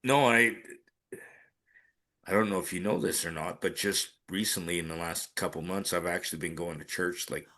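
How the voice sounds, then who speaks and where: A man with a very deep voice, telling a story on a casual podcast with many restarts and fillers. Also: steady tone, moderate pace, bright, forceful tone. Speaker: podcast co-host. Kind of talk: casual chat.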